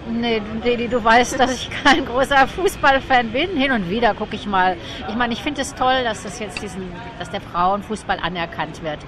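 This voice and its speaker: A woman's voice: on the high side, moderate pace, few pauses. A woman answering an interviewer in casual, conversational speech. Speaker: interview participant. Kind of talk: casual chat. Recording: crowd noise behind, outdoors. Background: crowd, outdoor urban sounds.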